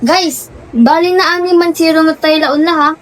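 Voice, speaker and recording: A woman's voice: very high voice, steady tone, normal speed. A young woman with a Pangasinan accent playing a bold leader of a group of friends in a student radio drama. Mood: questioning, urging. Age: young adult.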